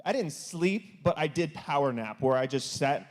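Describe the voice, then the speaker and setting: Lively high-voiced young man hosting. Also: normal speed. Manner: playful, stumbling over foreign words. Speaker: youth service host. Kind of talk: casual live-stream chat. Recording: long church hall reverb.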